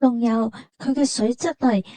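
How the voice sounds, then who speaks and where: A woman speaks Hong Kong Cantonese in a fairly high, very mellow voice. She narrates a trip conversationally, explaining each thing like a storyteller. The recording is close and very dry. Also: fairly even intonation, unhurried, dry recording. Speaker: travel vlog narrator. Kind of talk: conversational scripted travel narration.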